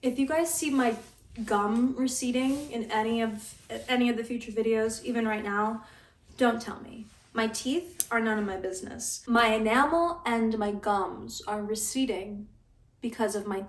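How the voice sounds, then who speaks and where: Wry young woman in an echoey room. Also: on the high side. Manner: wry, deadpan complaining with stammered restarts. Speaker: vlogger. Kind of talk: casual vlog monologue. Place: apartment room. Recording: echoey room, mic at a distance.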